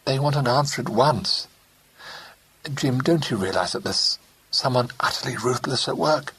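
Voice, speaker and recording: A man's voice: mid-range voice, moderate pace, a bit bright, husky, pitched above the performer's own voice, rough recording. A man voicing an anxious young Englishwoman, pleading and urgent.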